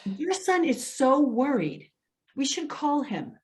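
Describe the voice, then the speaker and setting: A woman's voice: sits high, sing-song, slow, a little mellow, heavily acted. Woman acting a concerned, coaxing social worker. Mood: sympathetic, dismayed. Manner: coaxing, earnest. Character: concerned, patient female elder-affairs social worker. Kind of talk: readers theater stage acting.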